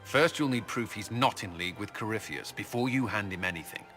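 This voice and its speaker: An actor playing a stern, practical military commander in a video game, firm and blunt. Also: male voice, fairly low pitch, brisk, sharp tone, soft background music. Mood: firm, wary. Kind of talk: video game dialogue acting.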